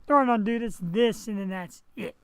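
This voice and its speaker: A man hosting a live webcast talk show, hoarse and raspy after surgery, rambling and chatting straight to his viewers through a headset mic. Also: high voice, normal speed, dry recording. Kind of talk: casual live webcast monologue.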